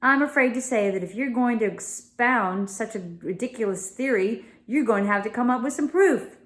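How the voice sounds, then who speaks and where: A woman voicing an exasperated, bewildered upper-class father in a children's storybook, with a brisk, old-fashioned, blustering manner. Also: a little high, voice pushed up, lightly acted.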